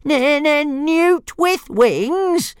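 A squeaky stammering little dragon. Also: male voice, expressive, drawn-out words, dry recording, studio quality, heavily acted. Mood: cheeky stammering. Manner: stutters, high and squeaky. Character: small stammering young male dragon, cheeky.

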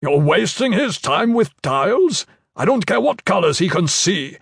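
A man's voice: high voice, sing-song, measured pace, creaky, fairly bright tone, breathy edge, dry recording, clean studio sound, pitched above the performer's own voice, heavily acted. A man voicing a blunt, impatient military commander who talks in curt demands.

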